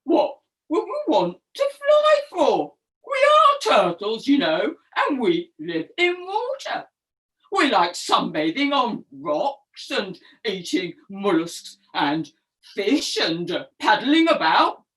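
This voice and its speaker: A woman storyteller voicing a group of turtles who dismiss an idea in a lower, scornful tone. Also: wildly swooping pitch, takes it slowly, a bit bright, full character voice, low-quality recording.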